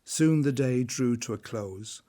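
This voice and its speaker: A man's voice: medium pitch, a little slow, dark tone. A man reading scripted narration as the storyteller of a drama.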